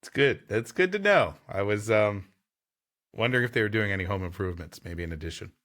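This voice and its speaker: This is a man with a very animated range of pitch, moving between story narration and comic banter. His delivery is playful and theatrical, like a host who enjoys performing what he reads. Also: a little fast. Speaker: podcast host reading a story aloud.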